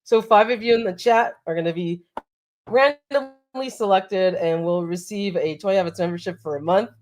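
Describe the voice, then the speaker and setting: A woman's voice: mid-range voice, normal speed, a little mellow. Congested woman hosting a live chat. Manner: upbeat, laughs often, reads out chat names. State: congested from allergies. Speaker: co-host. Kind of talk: casual live-stream chat.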